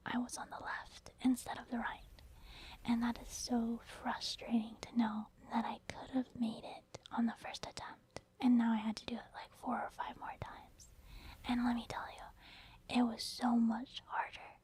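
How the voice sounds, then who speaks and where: A woman speaks in a steady whisper, doing ASMR game commentary. Her pitch sits a little high and stays fairly flat. She talks informally, as if chatting straight to viewers. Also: unhurried. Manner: informal, conversational, addressing viewers. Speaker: ASMR gaming creator.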